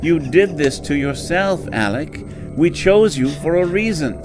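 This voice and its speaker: A man's voice: on the high side, animated. Man playing coldly polite villain over music. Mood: cold judgment. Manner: formal, measured, menacing. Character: coldly polite villainous husband staging occult revenge. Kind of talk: horror audio drama acting.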